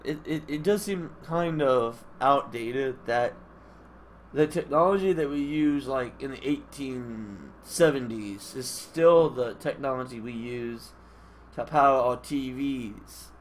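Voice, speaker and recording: A man's voice: medium pitch, slow. A man with a nasal, congested voice giving casual, rambling commentary as he hosts a gaming video, musing aloud. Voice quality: nasal, stuffy nose. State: congested nose. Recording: clear background noise on mic.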